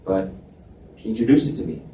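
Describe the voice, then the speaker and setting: Low, mellow man reading, far off. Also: lively intonation, brisk. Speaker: reader voicing the male lead. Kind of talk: live reading of a novel. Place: library event space. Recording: roomy, distant mic with faint hiss.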